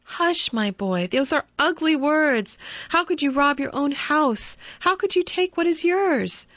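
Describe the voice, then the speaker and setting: Storybook mother, gentle and pleading, high and tender, read by a woman; dry, dull recording. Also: animated, measured pace, dry recording. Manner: soft, loving, raised high and earnest.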